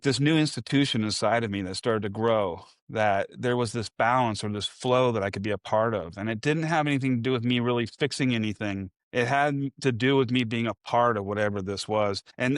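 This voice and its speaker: A man hosts a podcast interview, thinking out loud in long, reflective stretches. His voice is smooth and clear, with a medium pitch, and the sound is dry. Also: dry recording. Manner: reflective, musing, long rambling questions. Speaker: podcast host. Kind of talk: conversational interview.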